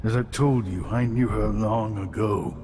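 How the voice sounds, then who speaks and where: An actor playing a weary elderly male mentor in a video game, measured and reflective, with a gravelly voice. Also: lowish voice, close to an everyday voice. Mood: reflective, wistful. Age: older. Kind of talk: dramatic video game acting. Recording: music under the voice.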